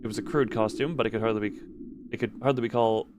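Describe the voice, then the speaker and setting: A man's voice: fairly low pitch, fairly even intonation, fast, a little mellow, slight background noise. A man doing casual game livestream commentary and reading game text aloud, often tripping over words and correcting himself. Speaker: game streamer.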